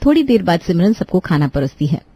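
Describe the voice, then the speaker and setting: A woman's voice: fairly low pitch, big pitch swings, brisk, mellow, highs cut off. A woman narrating a scripted moral story for a cartoon as storyteller.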